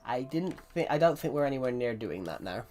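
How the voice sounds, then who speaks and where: A man's voice: medium pitch, sing-song, brisk, a little mellow. A young man from England with a Southwest English accent, chatting casually while streaming a game, rambling, restarting his sentences and reading viewer messages aloud. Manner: rambling, restarts a lot, reads chat aloud. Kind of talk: casual live-stream chat. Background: faint music and keyboard typing.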